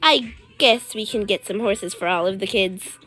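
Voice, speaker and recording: A woman's voice: medium pitch, moderate pace, a bit bright, faint music behind, pitched below the performer's own voice, lightly acted. A woman playing an easygoing, slightly reluctant parent, resigned and hesitant, in a pretend-play story.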